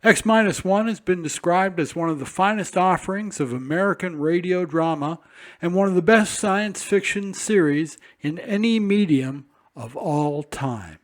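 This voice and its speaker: A man's voice: high voice, lively intonation, normal speed. A man reading scripted promotional narration.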